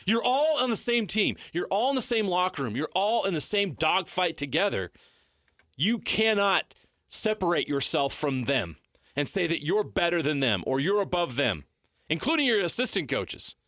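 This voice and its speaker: A man's voice: high-pitched, big pitch swings, moderate pace, fairly bright tone, no room sound, studio quality. A man hosting a sports talk show, giving heated, emphatic commentary with rhetorical questions and phrases repeated for stress.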